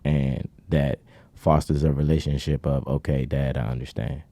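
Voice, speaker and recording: A man's voice: extremely low pitch, level tone, moderate pace, mellow, no room sound. A man in his thirties talking in casual podcast chat, earnest and preachy in long winding runs. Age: 30s. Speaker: podcast co-host.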